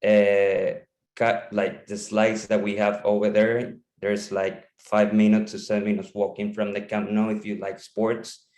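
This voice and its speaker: Flat-voiced man from Panama, echoey room. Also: on the low side, a little slow. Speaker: campus representative. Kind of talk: casual presentation on a video call.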